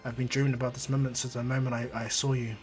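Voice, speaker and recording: A man voicing a gentle young male hero who speaks softly and reassuringly. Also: mid-range voice, animated, fairly quick, soft background music, close to an everyday voice. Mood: tender. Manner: soft, reassuring.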